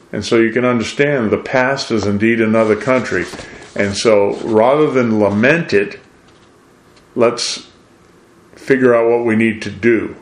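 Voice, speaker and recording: An older American man from New England delivers a preachy monologue in a deliberate, emphatic way, posing rhetorical questions. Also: medium pitch. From New England, USA. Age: 60s or older. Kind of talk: preachy monologue with read-out quotations. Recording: roomy, echoing voice.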